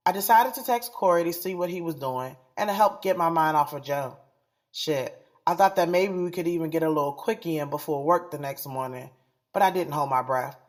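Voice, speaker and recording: A woman playing a frank, sassy young woman in an audio drama, candid and slangy as she tells of her love life. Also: sits low. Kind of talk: first-person audio drama narration and dialogue.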